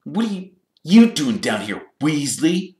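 An arrogant schoolboy bully acted by a man with a sneering drawl, pitched above an adult man's talk. It is a scornful storybook character heard in an echoey room. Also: animated, normal speed, a bit bright, far from the mic, close to an everyday voice.

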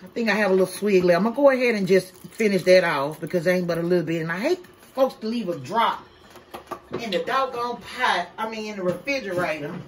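A woman's voice: mid-range voice, brisk, noisy background. A woman, chatting casually to viewers as she cooks in her home kitchen, calling them y'all. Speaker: vlogger. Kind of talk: casual cook-with-me vlog. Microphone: camera held by someone else.

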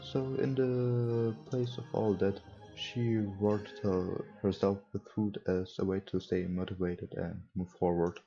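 A man's voice: low-pitched, little pitch movement, unhurried, gravelly, mellow. A young man, not a native English speaker, reading a visual novel aloud with casual, hesitant comments as a gaming host. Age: young adult. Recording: dull lo-fi mic, music behind. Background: game music, mouse clicks.

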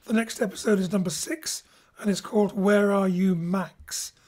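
A woman's voice: mid-range voice, fairly even intonation, normal speed, darkish tone. A woman director hosting an informal post-show talk about an audio drama series. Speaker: director and host. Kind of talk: hosted post-show chat.

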